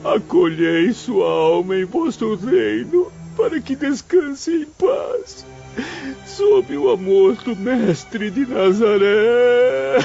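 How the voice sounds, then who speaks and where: A man playing a slow, grieving male spirit. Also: very high voice, animated, vocal fry, dark tone, full character voice. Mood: anguished prayer. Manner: slow, anguished, pleading. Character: grieving male spirit, a former professor longing for his mother. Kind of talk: dramatized audiobook acting. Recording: dull lo-fi audio with music behind.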